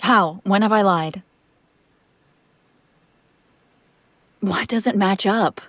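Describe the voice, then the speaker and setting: A woman's voice: medium pitch, measured pace, dry recording, close to an everyday voice. A woman playing a female vampire master, an exasperated but protective caretaker, in a conversational, sardonic audio roleplay. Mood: flustered, defensive. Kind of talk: intimate audio roleplay acting, one side of a conversation.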